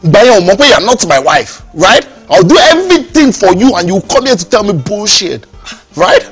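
A loud dad who talks fast, faint music behind. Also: male voice, a little high, lively intonation, husky. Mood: angry. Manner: mixes Yoruba and English, blustering and aggressive. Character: angry, entitled man demanding to see his son. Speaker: estranged partner, Kunle. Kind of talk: Nollywood drama acting.

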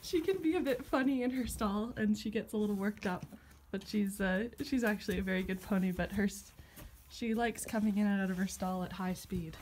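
A woman's voice: medium pitch, brisk, noisy background. A woman in her twenties gives a casual, chatty walking tour of a farm and barn, filmed on a phone held at arm's length. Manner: chatty, upbeat, points things out while walking. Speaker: vlogger giving a barn tour. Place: outdoors on a farm and inside a barn.

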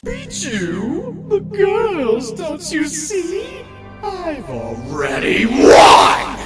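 A woman voicing a theatrical, androgynous cartoon devil, gloating in drawn-out phrases that drop from sing-song to menace. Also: extremely high pitch, huge pitch swings, reverberant, recorded from a distance, over loud music, exaggerated voice. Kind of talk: cartoon villain acting.

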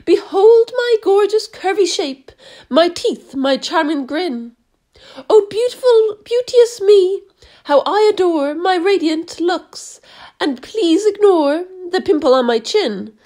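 A shrill, haughty aunt full of vanity and spite, as a woman reads her. The voice sits very high and leaps around wildly, sharp and sneering. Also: expressive, takes it slowly, heavily acted. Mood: vain. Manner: screeching, haughty. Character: shrill, vain, cruel skinny aunt.

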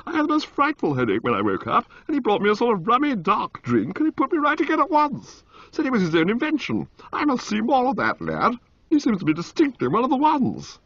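A man reading aloud and voicing a giddy, carefree young male aristocrat in a high, chirpy, exclamatory voice. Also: wildly swooping pitch, fairly quick, fairly bright tone, slightly rough, no room sound, exaggerated voice. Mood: gleeful. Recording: dull lo-fi, dry.

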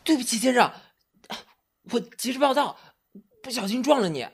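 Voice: medium pitch, expressive, moderate pace, slight background noise, lightly acted. A performer in a Mandarin audio drama playing an earnest, shy young male rookie singer who is polite and deferential. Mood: flustered, awed.

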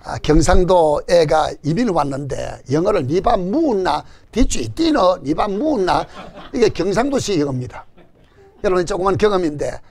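Older gyeongsang pastor, animated sermon, cracks jokes, asks for amens, high and very lively. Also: male voice, gravelly. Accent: Gyeongsang Korean. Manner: animated preaching with call-and-response and jokes. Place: church stage before a banner. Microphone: stand mic in front.

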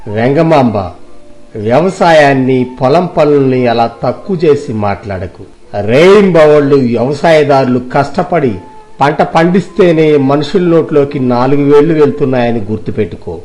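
A man's voice: mid-range voice, darkish tone, lightly acted. A man playing a principled, kindly middle-aged farmer in a cartoon story, earnest and preachy. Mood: firm admonishing.

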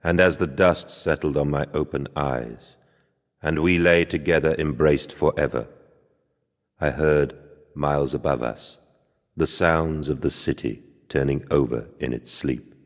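An actor playing a tormented, remorseful father in a video game, speaking in a grave, husky, mournful and weighty theatrical way. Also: very deep voice, unhurried. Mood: sombre, elegiac. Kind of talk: dramatic video game voice acting. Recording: long reverberant tail on the voice.

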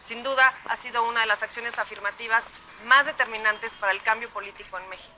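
An actress playing a formal, measured female commentator heard through a radio in a drama. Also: fairly high pitch, fairly even intonation. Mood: informative. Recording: sharp noisy radio playback.

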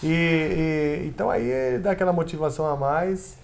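A middle-aged Brazilian man talking as a guest on a couch, an animated storyteller who stumbles and repeats words. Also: fairly high pitch, normal speed, creaky. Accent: Brazilian Portuguese. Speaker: guest musician. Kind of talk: casual interview conversation. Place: indoor living room set on a couch.

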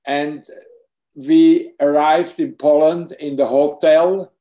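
A man in his sixties with a German accent, speaking haltingly on a video call and searching for English words. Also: a little high, fairly even intonation, warm tone, low-quality recording. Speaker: psychotherapist and film subject. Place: home room with bookshelves. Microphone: laptop webcam mic on video call.

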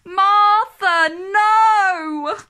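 A woman's voice: extremely high pitch, very slow, pushed and bright, pitched above the performer's own voice, full character voice. A woman voicing a bossy, easily alarmed storybook nanny who exclaims and scolds. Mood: alarmed, scolding.

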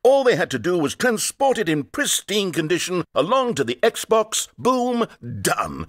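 A fussy, self-important game narrator, with a slightly husky tone and very lively pitch swings. His sarcasm is dry and theatrical, swinging between indignation and wounded pride. The recording is close and completely dry. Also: unhurried, dry recording, exaggerated voice. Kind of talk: scripted video game narration. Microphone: close studio mic.

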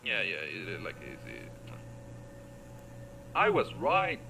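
A man's voice: low voice, wildly swooping pitch, slow, vocal fry, sharp tone, a little hiss or hum. A man doing casual gameplay commentary, muttering to himself in short fragments. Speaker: gamer playing and commenting. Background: game music.

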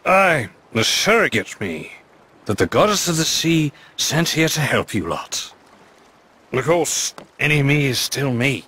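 An actor playing a roguish, swaggering pirate captain in a video game, with a British accent and flowery, slurred speech. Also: male voice, on the high side, sharp tone, a little hiss or hum. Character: roguish, theatrical pirate captain, a sly man. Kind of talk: dramatic video game cutscene acting.

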